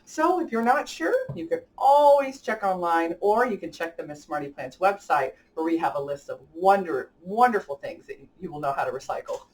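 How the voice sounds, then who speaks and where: A woman's voice: fairly low pitch, lively intonation, normal speed, hardly any pauses. Enthusiastic woman hosting children's story time, distant mic. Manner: enthusiastic, addressing children directly. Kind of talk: picture-book read-aloud and chat for children.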